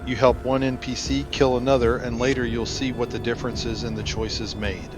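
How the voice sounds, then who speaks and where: A man narrates a video game walkthrough in a mid-pitched voice. He explains things casually and speaks straight to the viewers. Music plays clearly behind him. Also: level tone, fairly quick. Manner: explanatory, addresses viewers directly.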